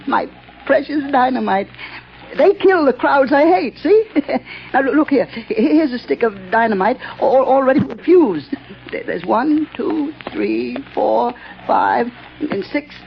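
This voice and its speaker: A man's voice: extremely high pitch, lively intonation, a little slow, creaky, a little hiss or hum, exaggerated voice. An actor playing a jittery male bomber who hates crowds, stammering and giggling in old-time radio drama style. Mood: gleeful, manic. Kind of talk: 1930s radio drama acting. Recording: dull old radio recording.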